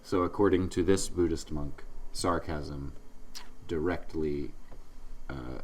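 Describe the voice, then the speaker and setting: A man's voice: very deep voice, slow pace. A man co-hosting a comedy podcast in casual banter, with dry, sarcastic joking. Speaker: podcast co-host.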